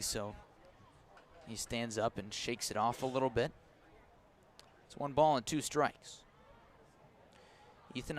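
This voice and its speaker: An American man doing live radio baseball play-by-play from a ballpark, slipping between pitch calls and conversational asides. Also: fairly bright tone. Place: outdoors at a ballpark.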